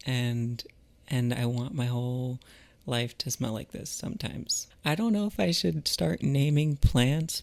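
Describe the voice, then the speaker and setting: A man chatting casually and reflectively to viewers as a vlogger, in a halting, musing way with trailing pauses. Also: medium pitch, gravelly, a little mellow. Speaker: vlogger and artist.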